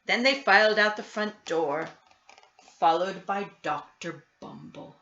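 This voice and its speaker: A woman reads a children's story at a slow, measured pace. Her voice is bright and expressive, with deliberate emphasis on key words. The recording is roomy and a little dull. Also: medium pitch, a little hiss or hum. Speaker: storybook reader. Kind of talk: expressive children's picture book read-aloud. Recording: roomy, slightly dull sound.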